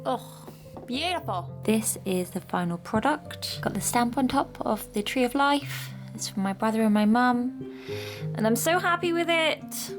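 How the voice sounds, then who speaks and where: A British woman vlogging about crafts in a chatty, excitable, playful and cutesy way. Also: fairly high pitch, measured pace, music in the background. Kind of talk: chatty craft vlog with narrated voice-over.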